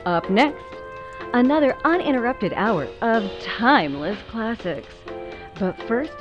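An actress playing a polished, upbeat female radio announcer with a smooth, presentational delivery. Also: mid-range voice, dull audio, natural voice. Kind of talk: old-time radio DJ announcing. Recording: band-limited radio sound with music behind. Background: music.

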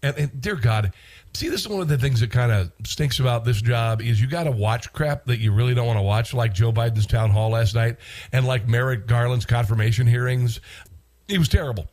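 A man's voice: mid-range voice, fairly quick, a little mellow. Congested American man hosting talk radio. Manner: wry, repeats phrases for emphasis. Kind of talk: casual opinionated monologue.

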